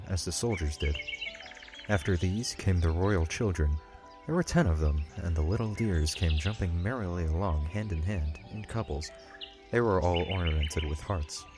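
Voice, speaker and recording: A male narrator tells a scripted story in audiobook style. His voice sits very low, and music plays clearly beneath it.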